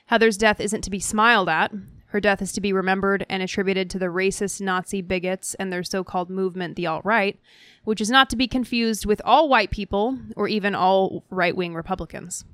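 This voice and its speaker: Smooth-voiced woman podcast host, dry close sound. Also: mid-range voice, fairly even intonation, a little fast, fairly bright tone, dry recording. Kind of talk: reading listener emails aloud.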